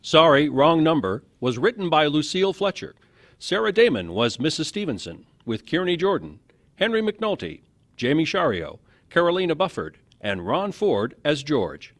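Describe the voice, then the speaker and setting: Lively male radio announcer. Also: on the high side, normal speed. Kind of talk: scripted radio play credits.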